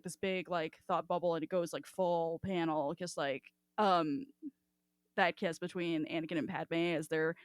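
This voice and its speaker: A woman's voice: medium pitch, smooth, no echo, clean studio sound. A woman co-hosting a podcast in casual chat, effusive and rambling, swearing freely. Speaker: podcast co-host.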